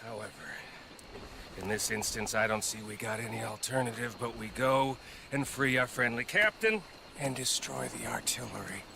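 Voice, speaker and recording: An actor playing a mocking, mean-spirited American outlaw gunman with a gravelly drawl in a western video game. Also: medium pitch, moderate pace, noisy background, close to an everyday voice. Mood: sly. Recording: music behind game dialogue.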